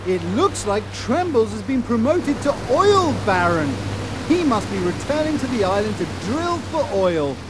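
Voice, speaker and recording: A man's voice: extremely high pitch, sing-song, measured pace, noisy background, exaggerated voice. A man with a British accent playing a fussy, kindly children's storybook narrator in a game, theatrical and quaintly polite. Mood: cheerily curious. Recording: music under the voice.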